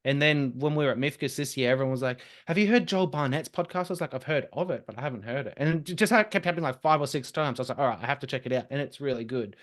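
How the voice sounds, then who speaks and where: Animated young man telling stories. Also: medium pitch. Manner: lively, quick storytelling with laughs and restarts. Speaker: podcast host as guest. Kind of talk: casual conversation.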